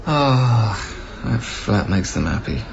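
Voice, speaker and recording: Low-voiced, earnest young official. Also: male voice, animated, slow pace, creaky, dull audio, noisy background, full character voice. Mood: fond, reflective. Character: earnest, patient, conscientious young council clearance officer. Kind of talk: naturalistic radio drama acting.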